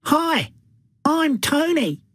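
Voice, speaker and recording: A high-voiced young schoolboy. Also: female voice, very animated, very slow, dry recording, studio quality, exaggerated voice. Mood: friendly. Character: friendly young schoolboy from Australia. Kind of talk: textbook dialogue recording.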